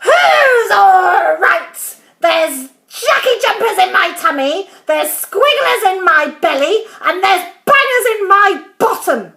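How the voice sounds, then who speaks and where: A crotchety old granny from a children's storybook, screeches at a very high pitch. She is frantic and histrionic, her voice swooping wildly and cutting through with a hard, piercing edge. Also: sing-song, takes it slowly, few pauses, pushed and bright, reverberant, exaggerated voice. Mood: indignant, pained. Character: shrill, crotchety old grandmother, frantic and furious.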